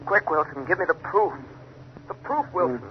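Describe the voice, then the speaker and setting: An actor playing a determined detective hero in 1930s radio style, pressing and insistent, on an old noisy broadcast recording. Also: male voice, high-pitched, very animated, normal speed, highs cut off. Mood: urgent. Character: urgent, determined crime-fighting detective hero.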